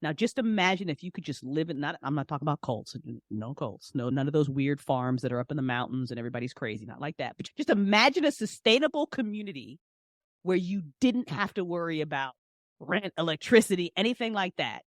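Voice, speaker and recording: A woman's voice: low voice, expressive, brisk, no echo, clean studio sound. A woman speaking as an interview guest in an informal, passionate way, leaning on key phrases and repeating them for emphasis. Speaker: podcast guest, independent entrepreneur. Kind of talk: casual, passionate interview conversation.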